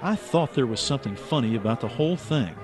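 An actor playing a suspicious, persistent investigator and narrator in a radio drama, probing and matter-of-fact. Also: male voice, mid-range voice, animated, fast, over music, lightly acted. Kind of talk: old-time radio drama acting.

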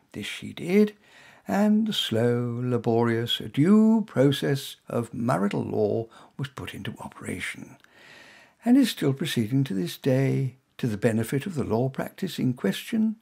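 A man reads his own short story aloud, wry and conversational. His voice is gravelly and a touch husky. His pitch rises and falls freely as he tells it. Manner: dry, wry, conversational delivery with lively pitch. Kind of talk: first-person storytelling.

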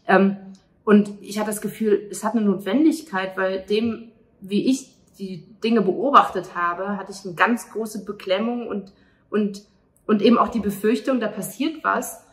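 Earnest woman in her thirties, medium pitch. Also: fairly even intonation, a little slow. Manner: earnest, reflective. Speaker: playwright and actress. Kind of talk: interview answer, conversational. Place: indoors at a restaurant table by a window. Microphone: handheld microphone held by interviewer.

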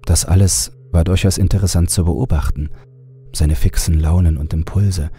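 A man narrating a scripted horror story with a husky, raspy voice. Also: low voice, fairly even intonation, moderate pace, warm tone, faint music behind.

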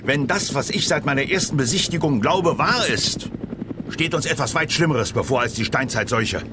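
A man's voice: mid-range voice, expressive, moderate pace, natural voice. A man playing a stern, grave military general in a German audio drama. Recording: clear helicopter noise behind.

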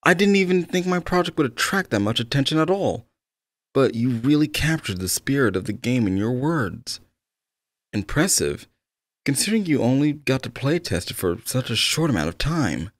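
A man's voice: medium pitch, very animated, normal speed, no room sound, clean studio sound. A man playing an eager, slightly awkward game developer, speaking conversationally and attentively to the listener in an ASMR-style roleplay. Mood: pleased, flattered. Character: eager, soft-spoken obsessive male game developer. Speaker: roleplay voice actor.

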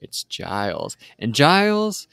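A man co-hosting a podcast, recapping a TV episode in casual, animated chat with mocking asides. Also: mid-range voice, slow pace, creaky, smooth, no room sound, clean studio sound. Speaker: podcast co-host.

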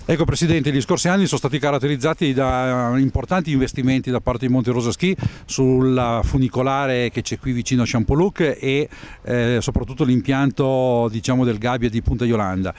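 A man's voice: mid-range voice, a little fast, darkish tone, slight background noise. A middle-aged man, a local TV interviewer, asking formal questions with some hesitation into a handheld mic outdoors on a snowy ski slope.

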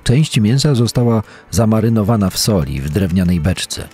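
An actor playing a clinical coroner reading a grim report, detached and measured, with a dark, husky voice. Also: male voice, on the low side, expressive, soft background music. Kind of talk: true-crime audio dramatization.

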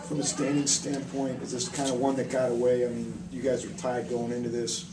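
A man's voice: mid-range voice, monotone, brisk. A man, a sports reporter, asking conversational questions at a postgame press conference. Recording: echoey, distant, very noisy room.

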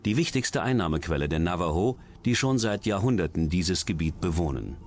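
A man's voice: low-pitched, lively intonation, brisk. A man reading scripted true-crime documentary narration as the narrator. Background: background music.

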